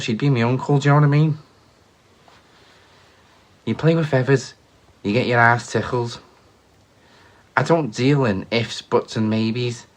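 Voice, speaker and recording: Scouse masked wrestler, crude braggart, male performer, mid-range voice, unhurried delivery. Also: medium pitch, lightly acted. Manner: boastful, loud, crude trash talk. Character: brash masked Liverpool luchador wrestler, cocky. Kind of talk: mock wrestling promo.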